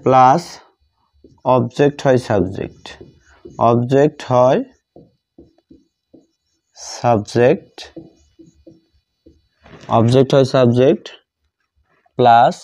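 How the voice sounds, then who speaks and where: A Bengali-accented man gives a grammar lesson at a very unhurried pace. His voice is mid-pitched and soft-edged, dark in tone. He dictates piece by piece, repeating phrases as he writes them out. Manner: deliberate, repetitive, spelling out each phrase. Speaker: English grammar teacher.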